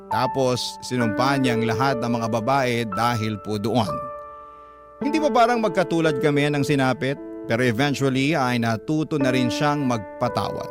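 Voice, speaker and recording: Filipino guy reading out a story on radio, average pitch, music loud behind him. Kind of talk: first-person story narration of a listener's letter. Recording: prominent music bed behind narration.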